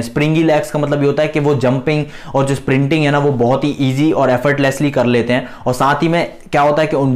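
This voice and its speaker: Earnest young man explaining to camera. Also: fairly high pitch. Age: 20s. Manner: earnest, explanatory, repeats key points. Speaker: fast bowling coach. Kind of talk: instructional video talking to camera. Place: small indoor room. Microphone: lapel mic.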